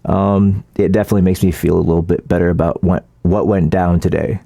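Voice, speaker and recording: A man giving casual, opinionated political commentary as a vlogger, in an informal, halting, rambling way. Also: deep voice, little pitch movement, creaky, mellow, dry recording.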